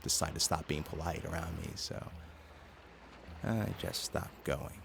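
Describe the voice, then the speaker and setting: Deep, creaky-voiced subdued young guy. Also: unhurried, full character voice. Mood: subdued, reflective. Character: subdued, guarded American teenage boy. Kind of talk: casual naturalistic audio drama dialogue. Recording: clear background ambience noise.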